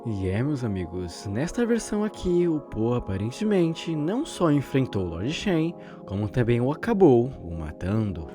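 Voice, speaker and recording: A man's voice: mid-range voice, big pitch swings, normal speed, hardly any pauses. A young man with a Brazilian accent giving conversational scripted commentary as a video host, in a wordy storytelling manner. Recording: background music under the narration. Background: music.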